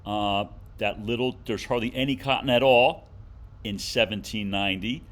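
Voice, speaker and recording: A man's voice: lowish voice, normal speed, a bit bright. Male teacher giving step-by-step directions. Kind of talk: informal instructional screen walkthrough.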